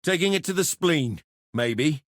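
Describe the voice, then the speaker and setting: An actor playing a gruff, sardonic fighter in a video game, speaking in blunt, colloquial barks. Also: male voice, fairly high pitch, lively intonation, unhurried, no room sound, studio quality.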